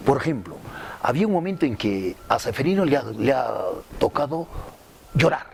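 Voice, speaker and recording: A man's voice: medium pitch, sing-song, moderate pace, gravelly, a little hiss or hum. A man with a Peruvian Spanish accent speaking expressively, as an acting coach giving an interview, often repeating himself for emphasis. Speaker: acting coach and radio drama actor. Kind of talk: interview testimony with acted demonstrations.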